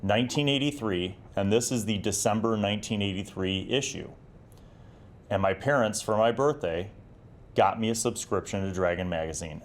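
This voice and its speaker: A man in his forties with an American accent and a fairly low voice. He talks casually and nostalgically as the host of a retro review vlog, reflective yet enthusiastic about what he shows. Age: 40s. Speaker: host of a retro gaming video series.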